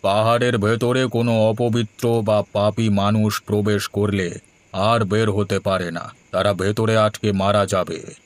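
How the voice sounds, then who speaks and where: A man voicing a solemn, humble holy hermit in a cartoon, measured, formal and admonishing. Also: on the low side, flat delivery, no echo, lightly acted. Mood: stern. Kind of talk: Bengali moral-tale cartoon voice acting.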